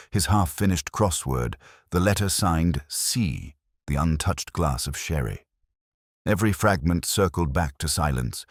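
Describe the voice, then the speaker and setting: Very deep-voiced man narrating a detective story. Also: dry recording, studio quality. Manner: measured, literary, reflective delivery. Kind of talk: scripted first-person narration, literary detective audio drama.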